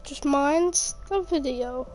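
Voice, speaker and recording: A woman with a croaky voice from being sick, casually commenting on her gameplay, muttering to herself and mock-frustrated. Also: high voice, slow, a little mellow, soft background music. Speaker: gaming youtuber.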